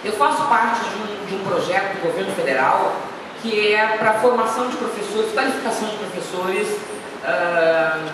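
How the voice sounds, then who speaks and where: A woman's voice: medium pitch, normal speed. A woman giving a chatty, anecdotal talk to an audience, with self-deprecating asides. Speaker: guest speaker. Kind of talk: informal lecture. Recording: echoey hall, speaker far from the mic, noisy.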